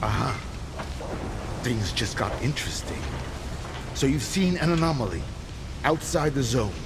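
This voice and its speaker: A man's voice: mid-range voice, animated, unhurried, vocal fry, background noise. A man acting a charismatic, teasing rebel leader in a video game, with a sardonic, theatrical and familiar manner. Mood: intrigued. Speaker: video game character in cutscenes. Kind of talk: dramatic video game dialogue. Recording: loud music behind dialogue.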